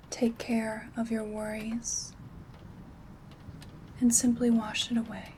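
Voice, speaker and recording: A woman playing a gentle, affectionate witch in a soft-spoken, whispered ASMR roleplay, soothing and tender with a breathy hush. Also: on the high side. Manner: soothing, slow, hushed, formal and tender wording. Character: gentle, affectionate female witch and caring friend. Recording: steady background rain ambience noise.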